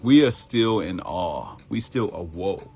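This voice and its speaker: A man's voice: low-pitched, expressive, takes it slowly, mellow, soft background music. A middle-aged man with a Baltimore accent hosting a live morning show in casual talk, addressing viewers directly and acting out quoted voices.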